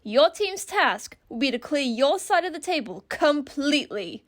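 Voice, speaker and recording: A woman voicing a peppy, teasing host of an animated horror game show. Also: sits high, measured pace. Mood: brisk, gleeful. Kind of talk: animated reality show announcing.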